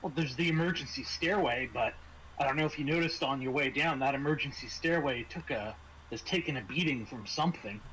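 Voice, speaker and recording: Bright-voiced man running a tabletop game. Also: medium pitch, low-quality recording. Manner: halting, repeats phrases as he goes. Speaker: game master. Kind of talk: improvised narration of a tabletop role-playing game. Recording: home recording with clear background noise.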